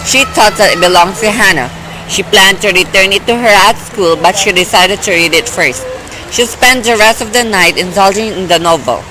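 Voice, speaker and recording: A young woman narrating an amateur radio drama in a plain, even storytelling voice. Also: mid-range voice, moderate pace. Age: young adult. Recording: noisy background.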